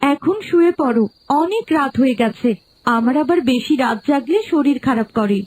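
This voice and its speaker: Woman as a high-voiced pleading young wife. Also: lively intonation, normal speed, darkish tone, slight background noise, rough recording. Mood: worried. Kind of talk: animated folk story dialogue.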